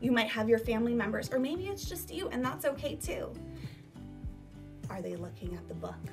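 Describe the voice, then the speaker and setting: High-pitched woman teacher, distant mic over music. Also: normal speed. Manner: emphatic, animated teacher delivery with rhetorical questions. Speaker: elementary school teacher. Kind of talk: instructional talk to children. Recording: distant microphone, music behind.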